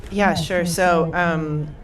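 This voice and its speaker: A woman in her thirties, from Eastern Europe, telling a personal story as a panelist in an animated, storytelling way. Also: fairly low pitch, drawn-out words, a little hiss or hum. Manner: animated storyteller, laughs often. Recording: far from the mic, crowd behind.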